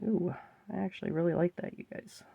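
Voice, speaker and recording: Congested woman with a gravelly voice. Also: fairly low pitch, brisk, warm tone. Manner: chatty, often addresses viewers as you guys. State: congested, stuffy nose. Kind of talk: casual chat while crafting.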